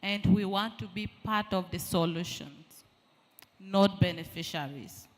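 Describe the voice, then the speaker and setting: A woman speaks slowly and earnestly, posing rhetorical questions to a conference audience. Her voice carries a long reverb from a large hall. Each phrase is measured and deliberate. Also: mid-range voice. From Uganda. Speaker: refugee representative, panel speaker. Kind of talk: conference statement.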